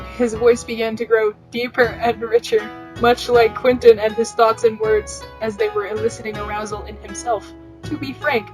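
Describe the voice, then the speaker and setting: A woman's voice: a little high, low-quality recording. A woman narrating fan fiction on a podcast in a matter-of-fact, newscaster-like manner. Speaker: podcast reader. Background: plucked guitar music.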